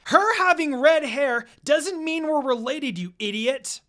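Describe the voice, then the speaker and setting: A narrator voicing a hot-headed, vain young female ninja who is loud and indignant in an anime fan story. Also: high-pitched, lively intonation, unhurried, voice pushed up.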